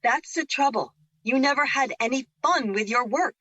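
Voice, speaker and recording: A woman's voice: sits high, moderate pace, sharp tone, no room sound, highs cut off. A woman playing a playful, unafraid female spirit in an audio drama, wry and needling in manner. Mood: playful, teasing.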